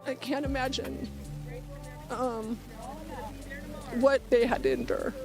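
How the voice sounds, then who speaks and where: A woman giving halting, unscripted interview remarks. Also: high voice, steady tone, over music.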